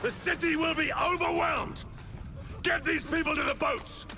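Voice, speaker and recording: A man's voice: very high-pitched, steady tone, unhurried, gravelly, raised voice, full character voice. An actor playing a brave Roman officer who rallies his men and barks urgent battlefield orders. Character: brave male Roman officer rallying troops. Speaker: soldier commander character. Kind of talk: video game cutscene acting. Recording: game audio over music.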